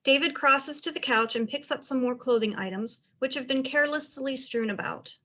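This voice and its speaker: A woman's voice: high-pitched, normal speed, sharp tone. A woman reading out stage directions as the narrator of a dramatized play reading.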